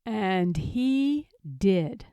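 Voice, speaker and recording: A woman narrating a children's storybook aloud in a measured, expressive manner. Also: mid-range voice, clean tone, dry recording. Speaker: storybook reader.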